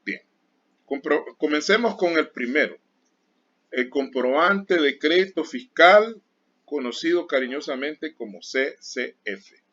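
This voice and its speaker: Bright-voiced teacher lecturing. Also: male voice, fairly high pitch, expressive, unhurried. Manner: explains with everyday examples and repeated warnings. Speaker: accounting teacher. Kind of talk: classroom lecture. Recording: dull lo-fi sound.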